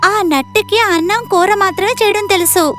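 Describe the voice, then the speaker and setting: A woman voicing a determined, spirited young daughter in an animated moral story. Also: extremely high pitch, a little fast, faint music behind. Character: determined, spirited young daughter who dreams of being a chef.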